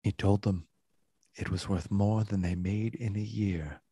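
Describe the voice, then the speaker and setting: Deep, very husky male narrator. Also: fairly even intonation, mellow, dry recording, studio quality. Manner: solemn, measured, dramatic. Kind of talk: scripted literary narration for a radio play. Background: music.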